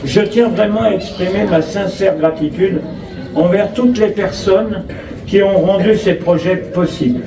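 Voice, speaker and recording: A man with a French accent addresses an outdoor gathering as a local official. His voice is high, and his phrasing is formal and measured, read from notes with off-script asides. The sound is echoey and picked up from a distance. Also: crowd noise. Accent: French from France. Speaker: local official giving a speech. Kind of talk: inauguration speech, read from notes with ad-libbed asides. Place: outdoor school forecourt, public address. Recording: roomy echo, distant mic, clear background noise.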